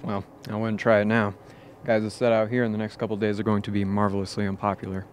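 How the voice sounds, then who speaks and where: A man's voice: on the low side, little pitch movement, fast talker, natural voice. A man playing a wry, blunt expedition crewman in a radio play, with a breezy, sardonic way of talking. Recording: faint background noise.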